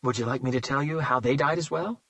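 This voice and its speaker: A man's voice: medium pitch, pushed and bright, no echo, voice pushed up. A man voicing a smug male serial killer who mocks and savors his words, in an audiobook reading. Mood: smug, taunting. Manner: mocking, slow and savoring.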